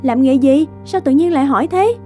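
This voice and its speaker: A woman playing a curious, gossipy young office worker in a cartoon, animated in manner. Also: high-pitched, brisk, faint music behind, lightly acted.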